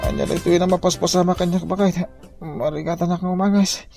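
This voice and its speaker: A man with an Ilocano accent playing an ailing, stubborn village husband in a comedy drama, with a gravelly voice. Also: high voice, steady tone, quick pace, over loud music.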